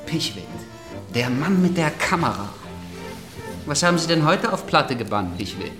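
A man's voice: on the high side, big pitch swings, normal speed. Middle-aged invalid nobleman: bitter, mocking, widely swinging intonation, radio drama with faint music underneath. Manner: agitated, mocking, theatrical. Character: bitter, sardonic, ailing aristocrat in his forties or older. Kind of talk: German literary radio play acting.

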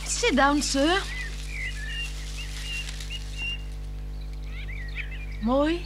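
An actress playing a playful, flirtatious married woman in a radio play, teasing and coaxing, moving between hushed words and exclamations. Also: sits high, animated, slow, background noise. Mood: concerned, coaxing. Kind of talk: 1960s Dutch radio play acting. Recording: 1960s radio drama with music.

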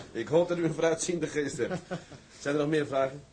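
An actor playing a composed, confident sea captain in a Dutch drama, speaking formally and in measured phrases. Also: male voice, a little high, darkish tone, a little hiss or hum, close to an everyday voice. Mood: assured, official. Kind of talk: Dutch broadcast drama acting.

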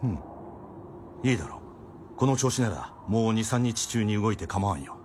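Male doctor played by an actor, lowish pitch, measured, drily familiar; radio drama with faint background noise. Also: expressive, a little mellow, lightly acted. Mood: satisfied.

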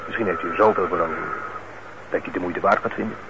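Gruff, creaky low-voiced petty crook. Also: male voice, fairly even intonation, fast. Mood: coaxing. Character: shady male petty crook pressuring an old accomplice. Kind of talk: Dutch radio play acting. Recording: muffled, very noisy old radio recording.

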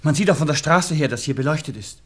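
An actor playing a well-bred, tense young gentleman lover with a Viennese accent in a radio play. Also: fairly high pitch, expressive, fast, slight background noise. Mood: nervous. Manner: polite, tense. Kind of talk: German-language radio play acting.

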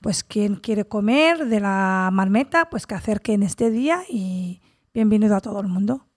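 A woman with a mid-pitched voice recorded dry, with no room sound. She speaks plainly and invitingly as a neighborhood organizer on a casual podcast. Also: animated, normal speed, no echo.

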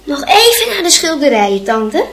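High-voiced curious young boy. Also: very animated, moderate pace, a bit bright. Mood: pleading. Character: curious, insistent twelve-year-old boy visiting a museum. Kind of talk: radio play dialogue.

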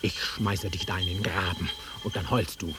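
An actor playing a volatile, nostalgic country-bred man in a German radio drama, brooding and taunting, with a rough husky voice that swings from muttering to outbursts. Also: low voice, steady tone, moderate pace, background noise, natural voice. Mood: aggressive.

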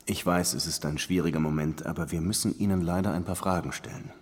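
An actor playing a dry, world-weary police lieutenant who narrates his case in a measured, wry way for a crime radio drama. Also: deep voice, steady tone, breathy edge. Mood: probing.

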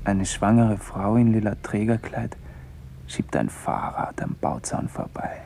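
A man's voice: lowish voice, sing-song, a little slow, gravelly, dark tone, a little hiss or hum. A man reading literary scripted narration in a detached, observational manner for a radio play.

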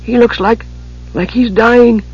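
A man's voice: high-pitched, animated, a little fast, dull audio, pitched above the performer's own voice. A man voicing a small, childlike kender who chatters and asks questions eagerly and earnestly in a storybook. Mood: anxious, curious. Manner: rambling, inquisitive, earnest.

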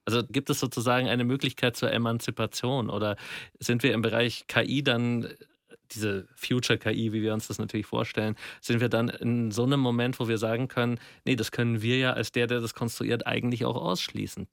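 A man's voice: medium pitch, steady tone, a little fast, vocal fry, no room sound, clean studio sound. A man thinking aloud in a casual, reflective discussion, musing in colloquial language.

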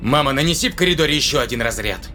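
A man's voice: mid-range voice, animated, racing, sharp tone, slight background noise. An actor playing an urgent, demanding male spaceship captain in an audio drama. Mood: commanding.

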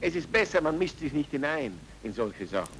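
Casting: evasive, blustering Viennese witness for radio drama, animated, swooping delivery, faintly noisy recording. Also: male voice, a little high, a little fast. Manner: agitated, repetitive, colloquial dialect. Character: nervous, evasive, blustering Viennese male witness, henpecked husband. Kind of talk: old German radio play acting.

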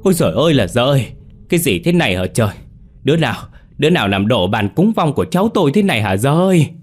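A man voicing a frantic elderly grandfather, shouting urgently in the dark. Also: medium pitch, very animated, fast talker, breathy edge, pitched above the performer's own voice. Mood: anguished.

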